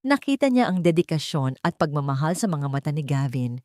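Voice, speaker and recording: A woman narrates a scripted romantic drama in a fairly low voice. She tells the story in measured, short phrases. The sound is dry, with very little room in it. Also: very animated, a little mellow, dry recording.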